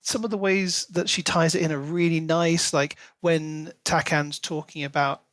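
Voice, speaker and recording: A man's voice: fairly high pitch, fairly even intonation, moderate pace, fairly bright tone, no echo. A man co-hosting a podcast, talking through a book in a casual, thoughtful and analytical way. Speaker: podcast co-host.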